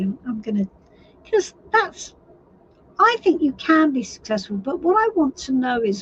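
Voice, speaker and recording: Tarot reader, female, hoarse and husky; slow, reassuring delivery, dull lo-fi recording. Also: high voice. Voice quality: husky, croaky. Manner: unhurried, reassuring, thinking aloud. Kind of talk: live tarot reading.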